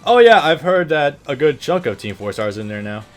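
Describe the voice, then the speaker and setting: A man's voice: a little high, sing-song, brisk. A man co-hosting a let's play, bantering casually and reacting in an informal way. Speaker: gaming video co-host. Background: video game music.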